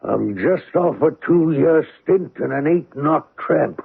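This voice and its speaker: An actor playing a wizened old sailor, fawning and insinuating, in a radio drama. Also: male voice, a little high, animated, takes it slowly, vocal fry, husky, heavily acted. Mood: wheedling, resentful. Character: wizened, cringing old sailor, sly and wheedling. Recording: muffled vintage radio broadcast.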